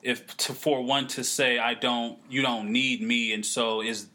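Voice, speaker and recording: A young man co-hosting a casual talk show, thinking aloud in halting phrases full of restarts and fillers. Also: medium pitch, little pitch movement, bright, forceful tone. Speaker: podcast co-host. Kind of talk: casual conversation.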